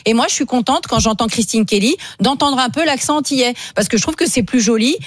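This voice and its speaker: A woman's voice: fairly high pitch, fast talker, no room sound. A woman on a radio panel giving her opinions conversationally.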